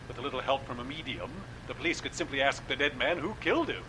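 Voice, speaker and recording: A man's voice: medium pitch, quick pace, background noise, close to an everyday voice. An actor playing a genial male radio horror-drama producer, talking with relish about spooky stories. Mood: wry. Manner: theatrical, relishing spooky talk.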